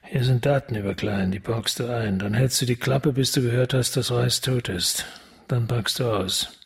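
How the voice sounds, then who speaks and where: Husky hard-boiled detective. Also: male voice, mid-range voice, normal speed. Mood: insistent, hard-boiled. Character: determined, hard-boiled male police detective making a deal. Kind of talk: German radio crime drama acting.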